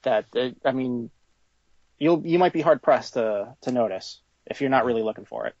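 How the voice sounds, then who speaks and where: A man answering informally as an interviewed toy designer, halting as he thinks aloud, on a slightly lo-fi recording. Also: mid-range voice, lively intonation, a little mellow, no room sound.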